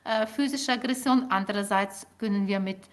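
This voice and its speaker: A middle-aged woman, a native Ukrainian speaker, answering interview questions as a linguist in a measured, explanatory way with frequent fillers. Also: mid-range voice. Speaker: linguist guest.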